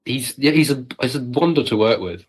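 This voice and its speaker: A man's voice: mid-range voice, lively intonation, fairly quick, slightly rough. A man in casual interview conversation as a guest, thinking aloud and hedging as he goes. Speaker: guest, audio drama producer.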